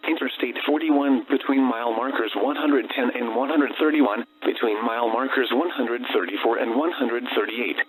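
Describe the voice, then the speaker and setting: A synthetic man's voice reads automated weather warnings as a radio announcer, with flat, formal, segmented delivery. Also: mid-range voice. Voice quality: synthetic text-to-speech voice. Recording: noisy, humming weather radio broadcast.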